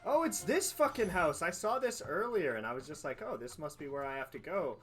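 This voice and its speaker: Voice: medium pitch, big pitch swings, fairly quick, a bit bright, slightly rough. A game streamer giving casual live commentary, sweary and joking in reaction to the game. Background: game music.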